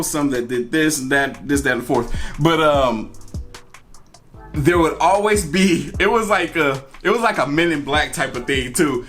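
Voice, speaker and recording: A man's voice: fairly high pitch, fairly bright tone. A man telling a personal story in a casual, conversational way, repeating phrases for emphasis. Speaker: storytime vlogger. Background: music.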